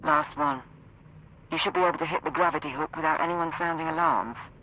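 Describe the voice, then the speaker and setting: A woman playing a composed military support officer in a video game, briefing in a brisk, matter-of-fact way. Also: deep voice, steady tone, bright, forceful tone, a little hiss or hum, low-quality recording. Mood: calm, businesslike. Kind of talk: video game dialogue over comms.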